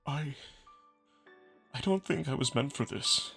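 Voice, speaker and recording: A man voicing a proud male wolf prince who speaks in a halting, emotional, apologetic way, distraught and earnest. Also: on the high side, lively intonation, gravelly, bright, forceful tone, husky, soft background music, pitched above the performer's own voice, heavily acted. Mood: distraught, self-doubting.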